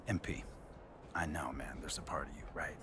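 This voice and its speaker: A man's voice: rumbling low, fairly even intonation, unhurried, background noise. A man playing a laid-back, generous American movie star in a video game cutscene, talking casually and earnestly with encouragement. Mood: earnest, encouraging.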